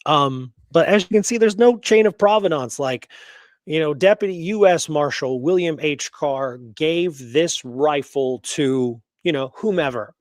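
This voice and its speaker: A man's voice: on the high side, lively intonation, unhurried, dry recording, clean studio sound. A man hosting a history show, talking through research casually and emphatically, repeating points for stress.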